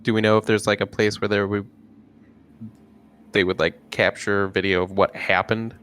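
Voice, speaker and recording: A man's voice: on the low side, flat delivery, moderate pace, fairly bright tone, clean tone. A man chatting casually as a player in a tabletop roleplaying game.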